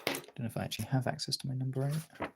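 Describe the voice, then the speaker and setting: A man's voice: medium pitch, steady tone, fast, creaky, very noisy. A man talking in an informal, rambling way, thinking aloud as he gives a casual art demonstration as a streamer. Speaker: streamer, artist. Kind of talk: casual chat while demonstrating drawing.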